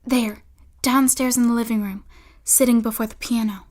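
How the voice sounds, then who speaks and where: A woman playing a clever, ruthless young elder sister plotting in a period audio melodrama, cool, commanding and deliberate. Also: a little high, fairly even intonation, a little mellow, close to an everyday voice. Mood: conspiratorial.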